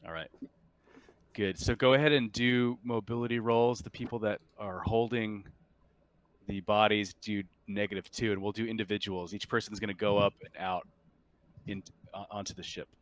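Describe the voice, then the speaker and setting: A man acting as game master for a tabletop roleplaying game, talking casually and a little haltingly. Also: fairly low pitch, fairly even intonation, smooth.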